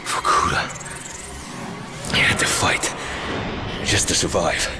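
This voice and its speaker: An actor playing a haunted, worn-down captive soldier under interrogation, terse and brooding, in a video game. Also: male voice, slow pace, music in the background, lightly acted. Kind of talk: dramatic video game acting.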